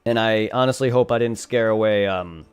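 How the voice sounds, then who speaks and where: A man's voice: lowish voice, normal speed, clear, resonant tone, soft background music. A young man chatting casually on a live game stream, rambling and thanking his viewers. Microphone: desk condenser microphone.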